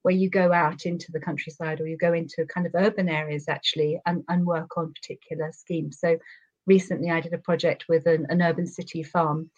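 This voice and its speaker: A chatty, earnest woman with a London accent, a touch low in pitch, talking at length as a guest. Her voice comes through dull lo-fi call audio. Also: level tone, fairly quick, darkish tone. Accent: London English. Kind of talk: casual interview chat over a call.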